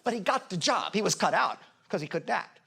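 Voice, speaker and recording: Brash, animated actor as a male acting teacher. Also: high-pitched, fairly quick, vocal fry, slightly rough, full character voice. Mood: self-important. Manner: brash, sarcastic, rambling. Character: cocky, belittling male acting teacher with bruised ego. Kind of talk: naturalistic mockumentary comedy acting.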